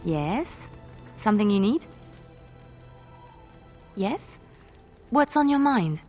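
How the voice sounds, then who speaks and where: An actress playing a gentle, wistful young bard in a video game, with a sincere storytelling manner. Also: female voice, mid-range voice, sing-song, slow, faint music behind. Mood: attentive. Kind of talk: video game dialogue acting.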